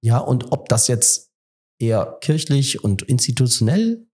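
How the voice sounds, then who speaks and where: A man with a husky, middle-pitched voice, congested from a head cold. He hosts a casual podcast from a rectory kitchen table and feels his way through his thoughts, pausing with fillers before he lands on a phrase. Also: very animated, darkish tone. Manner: thinks aloud with many pauses and fillers. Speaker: podcast host. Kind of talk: casual conversation.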